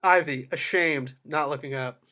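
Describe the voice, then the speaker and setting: A man reads screenplay stage directions as narrator. His voice sits slightly high, following scene headings and action at a table read. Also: moderate pace, sharp tone.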